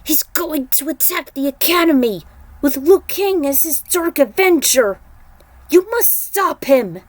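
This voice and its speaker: Woman doing a weakened thunder god, grave n anguished, formal slow solemn lines, voice pushed up higher, fantasy audiobook style. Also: expressive, no echo. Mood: urgent.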